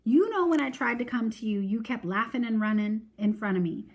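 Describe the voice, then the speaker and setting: A woman's voice: a little high, a little fast. A woman voicing a bossy, exasperated little girl in a storybook read-aloud, dramatic and demanding, scolding like her mother.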